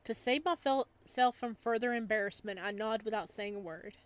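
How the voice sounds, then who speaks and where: A woman's voice: a little high, moderate pace. A woman hosting a casual visual novel playthrough, chatting and reading aloud. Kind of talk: casual playthrough chat and read-aloud narration.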